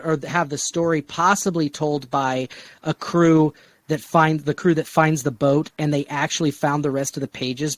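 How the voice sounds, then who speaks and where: A man's voice: on the high side, no room sound. Man talking story ideas, close dry sound. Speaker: podcast co-host pitching story ideas. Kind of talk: casual brainstorming discussion.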